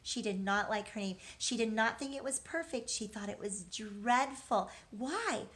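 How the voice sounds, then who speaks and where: A woman with a fairly high voice speaks as a teacher to young children. She talks directly to her little listeners, posing simple questions and supplying the answers. Her manner is encouraging and reassuring throughout. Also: measured pace, fairly bright tone. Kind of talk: interactive children's read-aloud and lesson.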